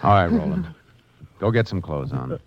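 Deep-voiced laconic radio lawman. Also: expressive, normal speed. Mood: reflective. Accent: American. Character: calm, shrewd male Texas Ranger on a case. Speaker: actor playing lead lawman. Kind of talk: 1950s radio drama acting with first-person narration. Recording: muffled, noisy 1950s radio recording.

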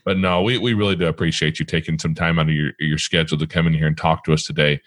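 A man with a Midwestern accent hosting an interview in a relaxed, rambling way, often restarting his sentences. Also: rumbling low, quick pace, no echo. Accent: Midwestern American. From American Midwest. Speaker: podcast host. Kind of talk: casual interview chat.

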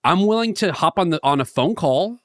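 A man's voice: high voice, expressive, brisk, no room sound, clean studio sound. A young man co-hosting a podcast, talking earnestly and rambling with stammered restarts. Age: young adult. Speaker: podcast co-host. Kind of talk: casual podcast banter.

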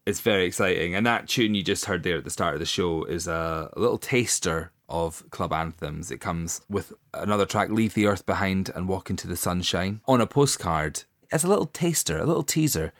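A man's voice: deep voice, animated, brisk, hardly any pauses, fairly bright tone, no echo, clean studio sound. A man co-hosting a casual podcast, jokey and giggly, with playful swearing. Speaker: podcast co-host. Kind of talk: casual label podcast chat.

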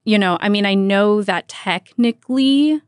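An American woman hosts a relaxed, informal radio interview in a close, dry recording. She talks in a chatty, conversational way, sprinkling her questions with small filler phrases like "you know". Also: mid-range voice, measured pace, no echo. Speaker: radio host interviewing a guest.

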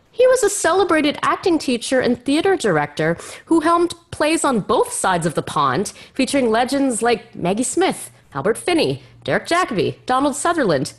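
A woman hosting a podcast, speaking with effusive emphasis and dramatic phrasing. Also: high-pitched. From Canada. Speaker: podcast host. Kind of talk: scripted podcast introduction and interview.

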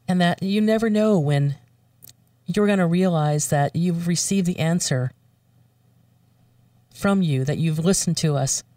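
A woman's voice: low-pitched, dark tone, no room sound, recorded from a distance. A woman guest who does voiceover work, speaking earnestly with fillers and restarts, moving between prayer and casual chat.

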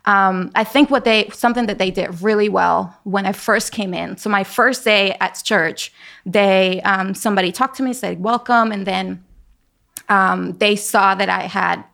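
Young woman, Spanish speaker, animated storyteller, gravelly voice. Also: medium pitch, normal speed. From Venezuela. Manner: animated storyteller, laughs a lot. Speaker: guest. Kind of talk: casual conversation.

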